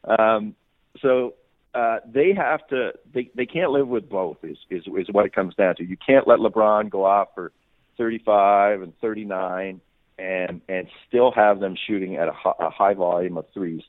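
A man's voice: on the low side, normal speed, no echo. A man giving off-the-cuff sports analysis as a guest reporter, often repeating words and restarting his sentences. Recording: muffled phone-like radio line.

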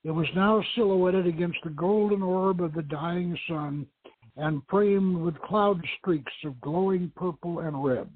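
Guy hosting a radio story hour, kinda high voice, muffled lo-fi phone-like sound. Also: measured pace, breathy edge. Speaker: show host and novelist reading own story. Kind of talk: radio show introduction and dramatic reading. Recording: muffled narrow-band internet radio sound.

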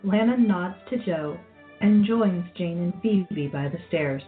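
A woman's voice: fairly low pitch, animated, takes it slowly, narrow, tinny audio, faint music behind. A woman narrating a radio play, reading scripted storytelling passages.

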